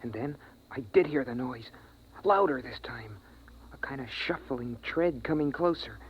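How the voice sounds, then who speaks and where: An actor playing an earnest, frightened young boy in a slow, suspenseful 1950s radio drama performance, on an old recording. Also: medium pitch, lively intonation, lightly acted. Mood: tense, hushed. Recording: noisy, dull vintage radio recording.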